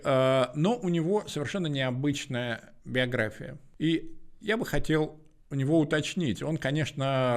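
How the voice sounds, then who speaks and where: A man hosting an interview podcast, introducing and questioning in measured, hesitant short chunks. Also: medium pitch.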